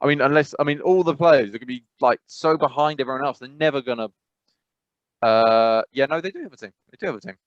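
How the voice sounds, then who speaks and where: A man's voice: mid-range voice, fast talker, no room sound. Guy cohosting a gaming podcast, rambles and restarts a lot, kinda muffled dry audio. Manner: rambling, opinionated, many restarts. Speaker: podcast co-host. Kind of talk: casual podcast chat. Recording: dull, dry sound.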